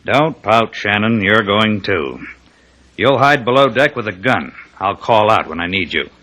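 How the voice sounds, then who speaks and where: An actor playing a hard, wily undercover agent with an American accent and a clipped, insistent delivery, as in vintage radio drama. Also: male voice, lowish voice, lively intonation, a little slow, bright, forceful tone. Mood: firm, insistent. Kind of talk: 1940s-50s American radio drama acting.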